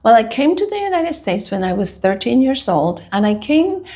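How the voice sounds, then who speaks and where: A woman in her seventies with a Dominican Spanish accent, telling her life story as an interview guest in a measured, reflective way. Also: a little high, very animated, a little mellow. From Dominican Republic. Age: 70s. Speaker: podcast guest.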